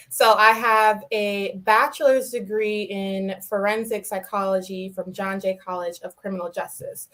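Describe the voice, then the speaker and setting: A woman's voice: medium pitch, fairly even intonation, a little slow, a bit bright, a little hiss or hum, low-quality recording. A young woman from New York City, a podcast guest explaining her work with light laughs. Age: young adult. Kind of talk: podcast interview.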